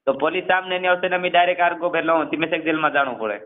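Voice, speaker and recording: A man's voice: on the high side, steady tone, racing. A man in a casual phone conversation, explaining things at length and repeating himself, on a narrowband call recording.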